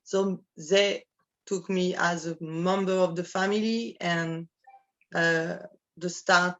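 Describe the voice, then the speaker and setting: A woman from France with a French accent, speaking English as a guest on an interview and often pausing to search for words. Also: fairly low pitch, fairly even intonation, fairly bright tone, lo-fi sound. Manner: halting, searching for words with many restarts. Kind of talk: casual interview.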